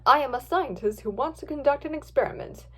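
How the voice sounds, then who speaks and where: A woman's voice: sits high, animated, fairly quick. A woman voicing a scheming male mad scientist in a read-aloud story, smug, commanding and impatient. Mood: calm menace.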